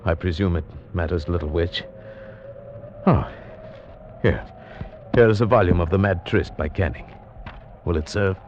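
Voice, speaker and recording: An actor playing an earnest, nervous gentleman who narrates in a solemn, measured manner in an old-time radio drama. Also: low-pitched, slight background noise. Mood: calm. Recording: muffled old radio recording with music.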